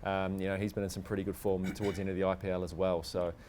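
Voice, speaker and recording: Fast-talking low-pitched New man. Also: little pitch movement, darkish tone, a little hiss or hum. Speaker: cricket player and panel pundit. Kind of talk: casual sports analysis.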